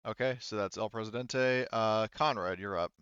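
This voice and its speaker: A man's voice: fairly low pitch, normal speed, fairly bright tone, smooth, no room sound, highs cut off. A man acting as game master, talking through rules and narrating a tabletop game casually, often thinking aloud.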